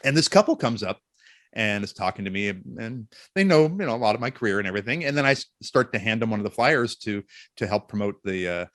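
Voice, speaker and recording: A man speaking as an interview guest and voice actor, telling stories in an expressive, jokey, self-deprecating way. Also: on the low side, quick pace, no echo, studio quality. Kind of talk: animated interview storytelling.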